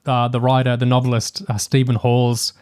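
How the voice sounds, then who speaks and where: A man's voice: mid-range voice, monotone, moderate pace, a little mellow, smooth, no echo, clean studio sound. A man co-hosting a podcast, talking casually and analytically through a review, hesitantly thinking aloud as he goes. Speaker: podcast co-host.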